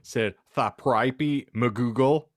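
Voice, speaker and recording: A man's voice: medium pitch, takes it slowly, dry recording, clean studio sound. A man hosting a podcast, reading fan fiction theatrically for comedy and exaggerating oddly spelled words. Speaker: podcast host and narrator.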